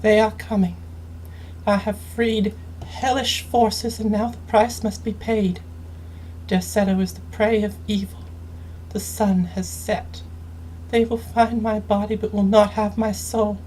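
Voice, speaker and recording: A woman game streamer reading story texts aloud in a measured, dramatic storytelling manner, with noticeable noise in the recording. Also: mid-range voice, level tone, mellow, low-quality recording. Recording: clearly audible background noise.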